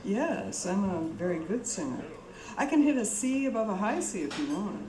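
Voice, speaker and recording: A woman's voice: medium pitch, big pitch swings, moderate pace, natural voice. A woman playing a vain, languid nightclub singer widow in radio noir, matter-of-fact and boastful. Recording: echoey room, crowd behind.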